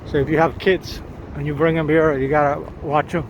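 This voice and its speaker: A man's voice: a little high, flat delivery, fairly quick, dull audio. A man filming himself walking outdoors along a riverside, pointing things out with eager, repetitive commentary. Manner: enthusiastic, repeats words, restarts phrases. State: slightly hoarse, coughing. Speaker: vlogger filming a city walk. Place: outdoors on a city riverside walkway. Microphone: handheld camera or phone while walking. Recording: outdoor city noise behind voice. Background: street noise, sirens, boats.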